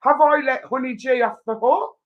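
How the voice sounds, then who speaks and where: A woman's voice: sits high, moderate pace, lo-fi sound. A woman hosting a casual live chat show, with a playful, bantering and teasing manner. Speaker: talk show host.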